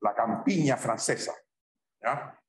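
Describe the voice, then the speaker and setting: Chilean professor, far off in an echoey room. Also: male voice, mid-range voice, slow. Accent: Chilean Spanish. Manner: conversational, tags sentences with "¿ya?" and "¿ah?". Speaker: university math professor. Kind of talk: informal chat with students during class. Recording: very echoey room, distant mic.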